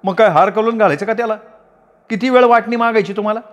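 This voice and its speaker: A man voicing a quarrelsome village woman who scolds in rapid, shrill taunts. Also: long reverb tail, lo-fi sound, lightly acted. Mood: scolding. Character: quarrelsome village woman, sister-in-law Dhabi.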